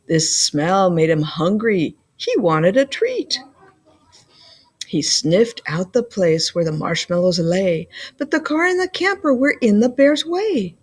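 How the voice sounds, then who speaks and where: A woman reading a children's picture book aloud as a school librarian, with deliberate, playful emphasis. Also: fairly high pitch.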